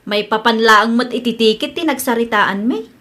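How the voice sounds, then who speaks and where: A glib, evasive married woman played by an actress in an Ilocano radio drama. Her voice is very high and bright, with a forceful edge. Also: normal speed.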